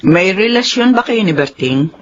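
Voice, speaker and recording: A woman's voice: medium pitch, expressive, normal speed, natural voice. An actress playing a stern, hot-tempered middle-aged mother in a Filipino radio drama, confrontational and exclamatory. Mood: stern, probing. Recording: dull lo-fi radio sound, slight noise.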